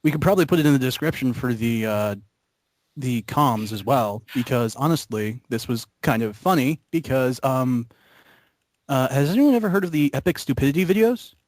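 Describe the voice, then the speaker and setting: A man's voice: medium pitch, expressive, normal speed, darkish tone, dry recording. A young man chatting casually in a group, explaining things in a somewhat hesitant way. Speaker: member of an online gaming video group.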